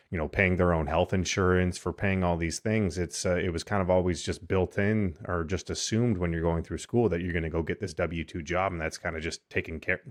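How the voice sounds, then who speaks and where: Male co-host, very low voice, rambling explainer. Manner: rambling, explanatory, many fillers. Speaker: podcast co-host. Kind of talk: casual discussion.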